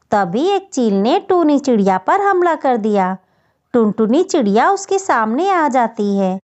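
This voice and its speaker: Woman telling a kids story, really unhurried, slightly muffled recording. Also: high voice, a little mellow. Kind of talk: scripted children's story narration. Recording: dull, muffled lo-fi audio.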